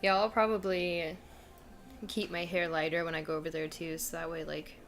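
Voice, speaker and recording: A woman's voice: lowish voice, little pitch movement, normal speed. A woman who is a podcast guest, chatting casually, giggling often and filling her talk with "like.". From United States. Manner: chatty and giggly, heavy use of "like".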